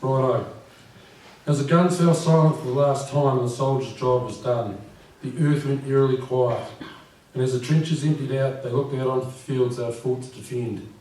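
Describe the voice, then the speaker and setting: Croaky older man reading poetry. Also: medium pitch, fairly even intonation, moderate pace, mellow. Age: 50s. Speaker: poet. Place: live venue with audience. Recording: echoey room from far off.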